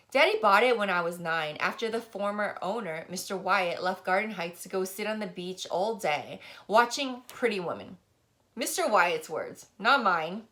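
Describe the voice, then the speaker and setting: A woman narrates a first-person story in a bright, forceful voice. Her reading is expressive and animated, with lively movement in pitch, in the manner of an audiobook. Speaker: audiobook reader.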